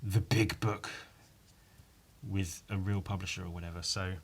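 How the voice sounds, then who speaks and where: A man with a somewhat low, croaky voice talks solo in a loose, rambling podcast style. He is informal and swears freely, often undercutting himself or ending a thought with a little tag question. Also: steady tone, unhurried. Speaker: podcast host and illustrator. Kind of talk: casual rambling solo chat.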